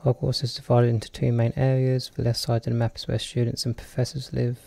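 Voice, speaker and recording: A man commentates a let's play with a cold. He jokes and chats as he reads game text aloud. His voice is dark and gravelly with a lot of fry. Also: medium pitch, monotone, a little fast, no echo. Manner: jokey, chatty, reads on-screen text aloud. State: has a cold. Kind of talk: casual gameplay commentary.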